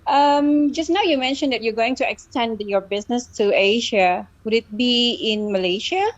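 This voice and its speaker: A woman's voice: a little high, normal speed. A woman calling in to ask a panel question, polite and a little hesitant. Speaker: caller asking a question. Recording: dull remote call audio.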